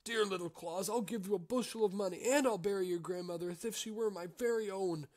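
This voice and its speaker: A man voicing an excitable innkeeper with a fiery temper, loud and pleading. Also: high voice, fairly quick, pitched above the performer's own voice, lightly acted.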